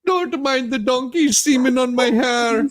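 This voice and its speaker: A woman's voice: high voice, a little slow. A woman voicing a folksy, sassy Southern courtroom witness in a theatrical, stammering comedic reading. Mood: pleading, absurd.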